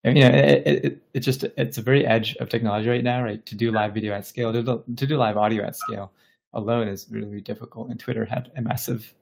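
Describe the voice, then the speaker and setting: A man's voice: mid-range voice, fairly even intonation, quick pace, vocal fry. A man from Bangladesh speaking as an interview guest, explaining things casually and thoughtfully, often searching for his words. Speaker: tech company founder interviewed as podcast guest.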